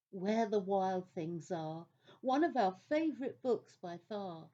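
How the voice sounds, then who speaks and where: A woman narrating a scripted children's adventure story as a gentle storyteller. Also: mid-range voice, dull audio.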